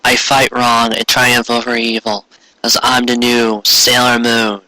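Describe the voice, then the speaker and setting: A man voicing a reluctant teenage magical-girl heroine, declaiming in a flat, deadpan way. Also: medium pitch, unhurried, no echo, low-quality recording. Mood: deadpan heroic.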